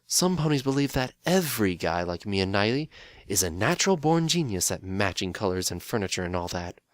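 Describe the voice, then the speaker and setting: A man's voice: fairly low pitch, big pitch swings, a little fast, dry recording. A man voicing a practical, easygoing male handyman pony who talks in a casual, wry and matter-of-fact way.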